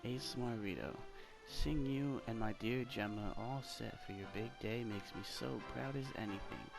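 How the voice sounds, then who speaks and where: A man reading out the game dialogue of a proud old village mayor, a doting grandfather who gives gentle instructions. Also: fairly low pitch, moderate pace, creaky, rough recording. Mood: proud, encouraging. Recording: loud game music behind the voice.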